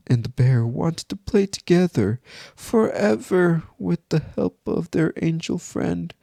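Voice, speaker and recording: A man's voice: a little high, vocal fry, dark tone, no room sound, studio quality, voice pushed up. A man voicing a sinister children's-show bear character in a sickly sweet, coaxing storybook manner. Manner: sing-song storybook coaxing.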